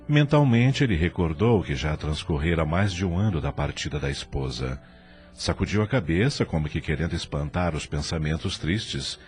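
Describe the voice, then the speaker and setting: A man narrating an audio drama from a script in an expressive, literary storytelling manner. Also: extremely low pitch, normal speed, faint music behind.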